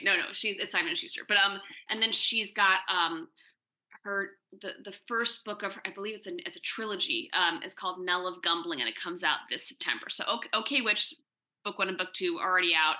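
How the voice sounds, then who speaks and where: Woman, slightly low pitch, very bright sharp tone, casual interview talk, enthusiastic, rambling. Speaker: comics writer and TV writer-producer, interview guest. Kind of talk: casual Q&A chat.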